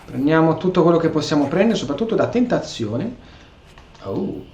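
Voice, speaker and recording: A man's voice: fairly high pitch, big pitch swings, a little fast, darkish tone, echoey room, dull audio. A man giving casual let's play commentary as he plays a video game. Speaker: gamer narrating a playthrough.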